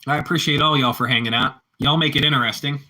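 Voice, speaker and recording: A man's voice: medium pitch, steady tone, fast, pushed and bright, poor audio. A man with a Pittsburgh accent chatting casually with live-stream viewers, rambling and repeating himself as he answers the chat.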